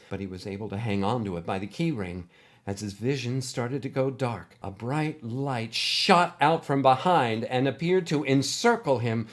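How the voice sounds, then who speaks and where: A man reading a scripted adventure story as its narrator. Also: medium pitch.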